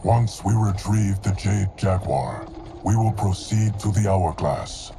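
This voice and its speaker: An actor playing a stoic ancient male guardian, deep and measured in a game cutscene. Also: animated, background noise, full character voice. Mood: solemn. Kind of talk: video game cutscene acting. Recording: game audio with music behind.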